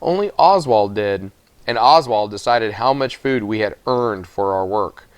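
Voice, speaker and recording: A man's voice: fairly low pitch, animated, a little slow, smooth, dry recording. A man narrating a children's novel aloud from the text as a storybook reader.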